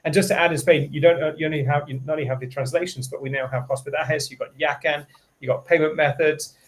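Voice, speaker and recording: A man speaks quickly as co-presenter on a product webinar. He leans on emphasis, repeating words to stress a point, and recommends the product with conviction. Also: on the high side, fairly even intonation, rough recording. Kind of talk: enthusiastic product webinar talk.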